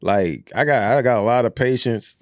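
A young adult American man co-hosting a podcast, bantering casually in animated, profane slang. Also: medium pitch, normal speed, no echo, studio quality. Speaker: podcast co-host.

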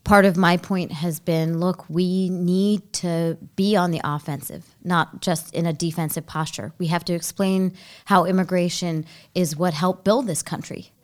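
A woman from Baltimore, a young adult guest in a studio interview, answering in a measured, thoughtful way with frequent ums. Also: fairly low pitch, steady tone, a little mellow. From Baltimore, United States. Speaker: guest, head of a refugee relief organization.

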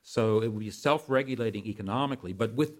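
An older American man with a fairly low voice gives an explanation as an interview guest. He talks like a policy expert, halting now and then to correct himself as he works through his points.

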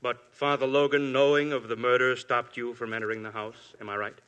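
A man's voice: mid-range voice, little pitch movement, fairly quick, a bit bright, natural voice. A man playing a police inspector in a 1950s radio drama, polite but relentless in his questioning. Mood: probing. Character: persistent male police inspector. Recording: old radio broadcast.

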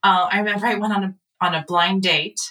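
Female podcast co-host from LA, bright tone, thoughtful delivery, set back from the mic. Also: medium pitch, steady tone, fast talker, recorded from a distance. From Los Angeles, US. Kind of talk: casual podcast chat.

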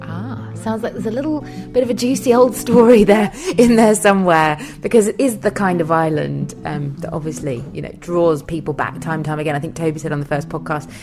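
A woman's voice: lowish voice, brisk, hardly any pauses. Playful woman podcast host over music. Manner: playful, teasing. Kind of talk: casual chatty interview. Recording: music behind the voice.

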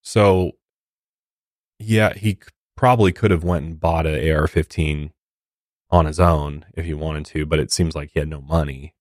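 An American man hosting a true crime podcast discussion, talking in a measured, conversational, hedging way. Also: a bass voice, fairly even intonation, a little mellow, no room sound, clean studio sound. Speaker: podcast host.

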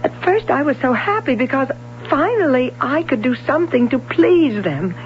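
A woman's voice: high voice, animated, normal speed. An actress playing a reserved, insecure young woman, courteous but guarded in a radio drama. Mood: pained, confiding. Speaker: actor. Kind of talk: American radio drama acting. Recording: muffled, hissy vintage radio broadcast.

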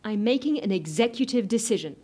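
A woman's voice: medium pitch, animated, a little fast, warm tone, husky. A woman playing a decisive, overworked office colleague in an audio comedy, dry and businesslike. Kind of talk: audio comedy drama acting.

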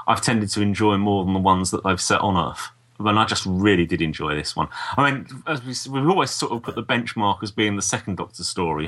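A man's voice: fairly low pitch, sharp tone. A man talking casually as co-host of a review podcast. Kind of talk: casual review chat about audio dramas.

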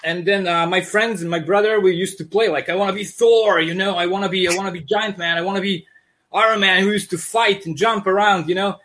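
A man from Serbia with a thick Serbian accent, a comic artist telling stories in a casual interview over a video call, animated and joking, with many restarts. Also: high-pitched, fairly quick, slight background noise.

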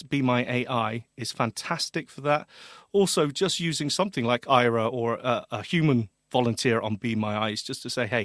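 A chatty male co-host of a technology podcast, talking loosely and laughing easily. His voice sits in a middle pitch and sounds close and dry, with no room around it. He wanders off topic and then pulls himself back. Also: fairly bright tone, no echo. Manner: chatty, laughs often, goes off on tangents. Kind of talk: casual tech chat.

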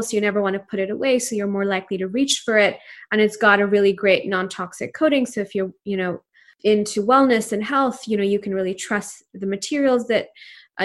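Woman in her 30s, native Urdu speaker, entrepreneur guest; reflective, earnest, lots of "you know". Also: medium pitch. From Pakistan. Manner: earnest, reflective, many fillers and restarts. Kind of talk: casual interview conversation.

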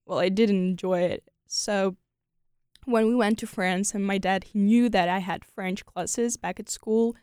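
A woman's voice: mid-range voice, fairly even intonation, a little slow, a little mellow, clear, resonant tone, no room sound. Young Ukrainian woman, chatty and dry. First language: Ukrainian and Russian. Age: young adult. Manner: chatty, many fillers like "like" and "you know". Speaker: guest. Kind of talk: casual interview conversation.

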